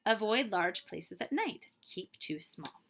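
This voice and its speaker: A woman voicing a wise old male priest in a storybook, solemnly and deliberately giving a warning. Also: medium pitch, lively intonation, vocal fry, fairly bright tone, exaggerated voice. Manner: slow, solemn, deliberate, spacing out words.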